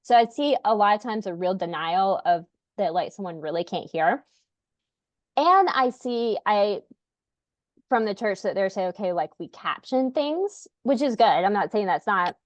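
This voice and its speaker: A woman's voice: mid-range voice, lively intonation, normal speed, no echo. A woman hosting a podcast, talking in an earnest, rambling way with many fillers. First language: English. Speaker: podcast host, parent. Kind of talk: casual podcast conversation.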